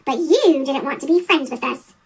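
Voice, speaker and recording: A storybook reader with a chipmunk-pitched effect voicing an odd, playful, grumbling male logging locomotive. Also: lively intonation, moderate pace, creaky. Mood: hurt. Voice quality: chipmunk squeak, a bit lower. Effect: sped-up chipmunk pitch shift.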